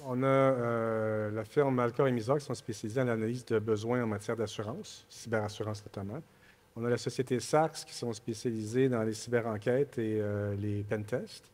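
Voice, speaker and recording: A man, a conference panelist, listing partner firms with pauses and restarts. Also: medium pitch, fairly even intonation, darkish tone. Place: large conference hall. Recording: hall reverb.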